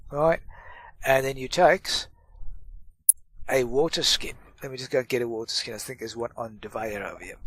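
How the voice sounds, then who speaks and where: A man's voice: medium pitch, a bit bright. A middle-aged man with a stuffy cold, hosting a casual gameplay tutorial and chatting through it with self-mocking asides. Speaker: gaming channel host.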